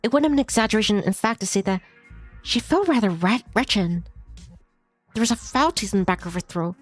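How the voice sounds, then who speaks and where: A woman's voice: medium pitch, brisk. A woman streamer reading a visual novel aloud as story narration, now and then stumbling over a word and rereading it. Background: music behind the voice.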